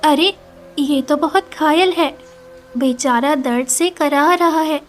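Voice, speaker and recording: Woman playing an earnest high-voiced village daughter. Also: slow pace. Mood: pitying. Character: distressed, devoted, kind village daughter. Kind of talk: animated story dialogue. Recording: music behind the voice.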